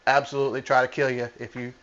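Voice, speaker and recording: A man, a gym coach answering interview questions in a plain-spoken, halting way. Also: medium pitch, little pitch movement, a bit bright. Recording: muffled lo-fi audio.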